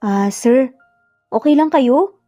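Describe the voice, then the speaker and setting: A woman's voice: high voice, lively intonation, slow pace, mellow, faint music behind. A woman voicing a flustered, embarrassed young housemaid in a story, polite and stammering.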